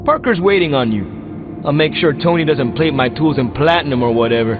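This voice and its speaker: An actor playing a casual, guarded, streetwise young mechanic hero in a video game, with a slangy, offhand manner. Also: male voice, a little high, lively intonation, a little fast, phone-quality sound, over music, lightly acted. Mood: offhand, skeptical. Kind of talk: video game dialogue acting.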